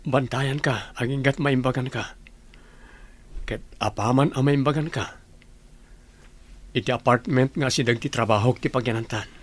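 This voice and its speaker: A man's voice: mid-range voice, fairly even intonation, moderate pace, pauses often, close to an everyday voice. A man acting in an Ilocano radio drama as a worried, indignant husband, earnest and reproachful, with an Ilocano Filipino accent. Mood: caring. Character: worried, indignant husband scolding his parents.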